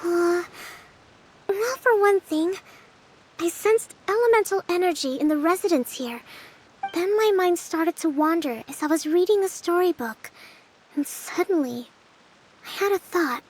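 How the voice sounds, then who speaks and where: A woman playing a composed, thoughtful young female general in an anime-style game, measured and polite as she explains. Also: very high voice, rough recording.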